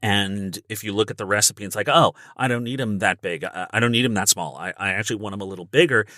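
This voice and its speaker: A man's voice: fairly low pitch, fast, bright, forceful tone, no room sound, clean studio sound. A man speaking as a guest voice actor on a podcast, explaining things casually and emphatically through everyday analogies. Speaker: guest voice actor and audiobook narrator. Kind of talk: casual instructional podcast conversation.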